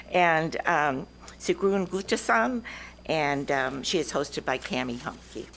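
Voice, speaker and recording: A woman's voice: low-pitched, takes it slowly, vocal fry, a bit bright, a little hiss or hum. A woman introducing exchange students at a council meeting in a giggly way.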